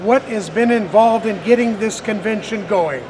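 A man's voice: high voice, normal speed. A man hosting and narrating a walk through a convention, talking in an upbeat, conversational way. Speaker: interviewer and host. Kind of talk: convention walkthrough narration and interview. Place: busy convention hall, indoors. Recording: crowded convention hall noise. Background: crowd chatter.

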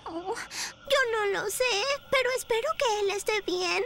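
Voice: extremely high pitch, unhurried, fairly bright tone, a little hiss or hum, heavily acted. An actor voicing a small, sweet, worried young dinosaur in a childlike, plaintive way for a cartoon dub. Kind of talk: animated film dub acting.

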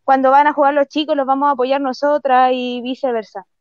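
A woman's voice: high-pitched, level tone, a little fast. A woman from Chile with a Chilean accent, chatting casually as an interviewed footballer over a video call. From San Antonio, Chile. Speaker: guest footballer. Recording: dull, lo-fi video call audio.

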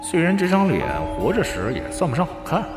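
Flustered young prince regent, played by a man, wildly swooping lowish voice, stammering costume radio drama, reverberant with music. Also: very animated, fairly quick. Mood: wistful. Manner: stammering, theatrical, exasperated. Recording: echoey reverb with music behind.

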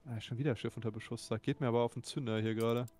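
A man's voice: mid-range voice, steady tone, very fast, darkish tone, faint music behind. A man chatting casually over a shared strategy game, exasperated and swearing when his ships get hit. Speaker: let's play co-host. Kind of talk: casual gameplay chat.

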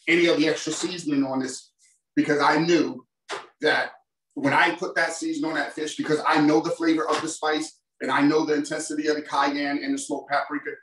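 A man's voice: on the high side, a bit bright, a little hiss or hum. A man in his forties, a chef giving a casual, enthusiastic cooking demonstration in a home kitchen and talking straight to his viewers. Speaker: chef presenting a cooking demo. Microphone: far camera mic. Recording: roomy kitchen sound, mic at a distance.

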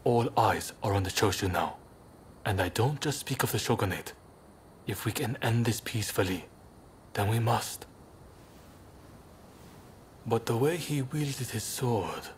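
A man playing a composed, courteous young samurai rebel leader in a video game, speaking in a polite, measured and earnest way. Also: medium pitch, slight background noise, close to an everyday voice. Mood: grave, thoughtful. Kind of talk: video game cutscene acting.

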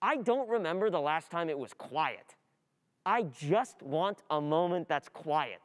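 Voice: medium pitch, expressive, measured pace, lightly acted. A performer playing an overwhelmed, distracted student in a dramatic monologue, anxious and frustrated, agitated and repetitive, with thoughts spilling out. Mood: overwhelmed, confiding. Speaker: performer in a radio play monologue. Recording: reverberant hall.

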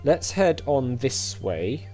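Chatty male gamer with lively intonation. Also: mid-range voice, takes it slowly, soft background music. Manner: thinks aloud, chatty, reacts to the game. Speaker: gaming video host. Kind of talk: casual let's-play commentary.

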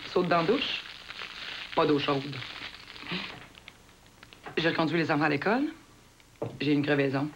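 A woman with a Québécois accent quickly recounting a frazzled morning in a scripted commercial. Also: low voice. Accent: Québécois French. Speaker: ad actor. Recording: dull old TV audio with faint noise.

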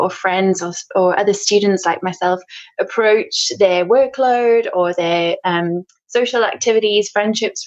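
A woman in her thirties from South Africa, chatting as a podcast guest with lots of fillers and restarts. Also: mid-range voice. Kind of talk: casual interview chat. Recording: slightly dull lo-fi sound.

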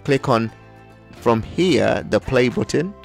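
Guy doing a screen tutorial, bit of fry in his voice, step by step, music behind. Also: medium pitch, lively intonation, slow. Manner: step-by-step, instructional. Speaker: tutorial host. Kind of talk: screen-recorded software tutorial narration.

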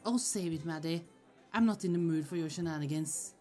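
Woman playing a low-pitched protective sorceress sister. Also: fairly quick, mellow, lightly acted. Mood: angry, stern. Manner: affectionate, chatty, firm when provoked. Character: protective, easily annoyed young sorceress older sister in fantasy world. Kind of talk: ASMR roleplay acting. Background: music.

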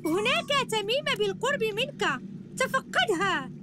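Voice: very high-pitched, very animated, normal speed, background noise. An actor voicing an eager, earnest young boy superhero in a cartoon video game. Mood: encouraging. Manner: earnest, encouraging, instructing. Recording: music behind the voice. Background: game music.

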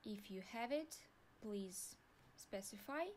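A young woman with a Russian accent, calmly walking viewers through an online form in a tutorial. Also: mid-range voice, slight background noise. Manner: slow, deliberate, pauses while clicking. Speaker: university staff guide. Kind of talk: screen-recorded tutorial walkthrough.

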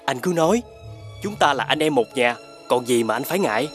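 A man playing an honest, trusting young woodcutter hero in an animated fairy tale, earnest and obliging, with a southern Vietnamese accent. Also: medium pitch, a little fast, over music, natural voice.

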